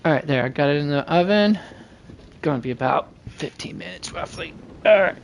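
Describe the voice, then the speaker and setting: A man's voice: on the high side, animated, fairly quick, vocal fry, slight background noise. A man hosting a game livestream, reading dialogue aloud and commenting casually, often stumbling and correcting himself. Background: game music.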